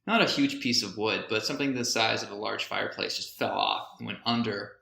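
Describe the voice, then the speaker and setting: Male podcast co-host, crackly fry in a forward, bright voice, joking and self-deprecating, conversational. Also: fairly low pitch, brisk, reverberant. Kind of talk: casual banter and storytelling.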